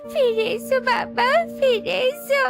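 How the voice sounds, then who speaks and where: A woman's voice: very high-pitched, steady tone, a little slow, music in the background. A woman voicing a plaintive, childlike little bird chick in a children's cartoon. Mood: tearful, frightened.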